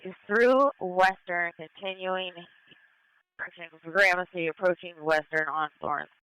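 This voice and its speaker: A man's voice: sits high, a little slow, bright, forceful tone, smooth, low-quality recording. A man observing from a police air unit, giving terse running direction call-outs over the radio. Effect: police radio transmission. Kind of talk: police radio pursuit commentary.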